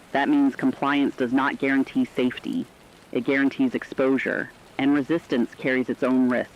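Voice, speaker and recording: A man playing a serious news correspondent, giving scripted emergency analysis in a deliberate, foreboding way. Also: medium pitch, lightly acted. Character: sober news correspondent analysing a looming war. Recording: dull lo-fi radio sound, faint noise.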